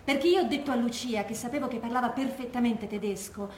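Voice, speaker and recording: A woman's voice: a little high, fast talker, darkish tone, lightly acted. An actress playing a frank, wry former partisan woman recounting wartime exploits in a stage monologue. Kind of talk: stage monologue from oral testimony. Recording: roomy, echoey stage recording with noise.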